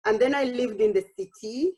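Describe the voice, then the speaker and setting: A woman's voice: on the high side, moderate pace. A woman, telling her personal story at an online workshop in an earnest way with frequent restarts. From Uganda. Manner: warm, earnest, with frequent restarts and self-quoting. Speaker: workshop speaker.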